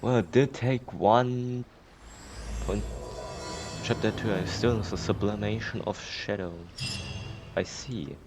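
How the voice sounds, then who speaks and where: A man with a low voice commentates casually on a video game. He is hesitant and thinks aloud, while faint game music plays in the background. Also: takes it slowly, long pauses. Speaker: gamer commentating on playthrough.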